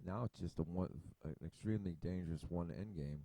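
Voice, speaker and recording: Casting note: low-voiced man, very mellow and dark tone, dry close desk-mic sound, rambling casual chess commentary full of ums and restarts. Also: a little fast, dry recording. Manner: rambling, thinking aloud with many fillers and restarts. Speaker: chess video host. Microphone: desk mic at a computer.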